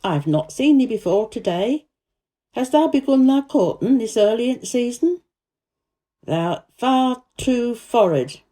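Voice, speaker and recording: Storybook old Yorkshire gardener, played by a woman: rough, crusty, rustic, soft spot underneath, expressive pitch, mellow tone. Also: measured pace. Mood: fondly teasing. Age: older. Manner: crusty, rustic dialect speech. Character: gruff old Yorkshire gardener, surly but fond. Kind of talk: storybook character reading.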